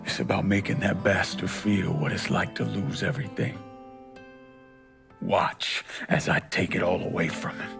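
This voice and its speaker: An actor playing a grim, determined young American veteran with a blunt manner in a video game cutscene. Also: male voice, deep voice, moderate pace, gravelly. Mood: vengeful. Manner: blunt, resolute. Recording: music behind the voice.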